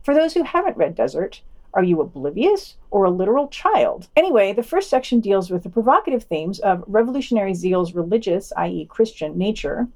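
A woman's voice: mid-range voice, very animated, a little fast, darkish tone. A woman co-hosting a podcast, reading a scripted news round-up and dropping in wry, sardonic asides. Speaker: podcast co-host.

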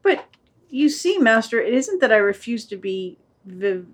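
Casting: small fearful dog played by a woman, pleading and naive, higher-pitched, very animated delivery for a radio play. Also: unhurried, breathy edge, exaggerated voice. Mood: puzzled, timid. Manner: pleading, stammering when puzzled. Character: frightened pleading little dog, naive and timid servant. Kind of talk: amateur radio play reading.

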